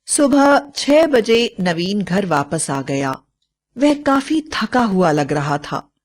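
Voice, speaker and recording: A woman's voice: medium pitch, very animated, takes it slowly. A woman narrator reading a scripted bedtime story for children.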